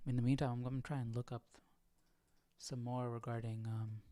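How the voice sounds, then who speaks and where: A man hosting a live Bible study, chatting casually and thinking out loud. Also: medium pitch, little pitch movement, normal speed, dry recording. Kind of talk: casual livestream chat with reading and commentary.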